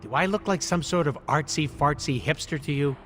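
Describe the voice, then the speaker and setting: An actor playing a cocky, irreverent mercenary antihero in a video game, with a jokey, sarcastic, theatrical delivery. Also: male voice, fairly high pitch, brisk, fairly bright tone, soft background music, natural voice. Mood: cocky, joking. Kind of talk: video game cutscene acting.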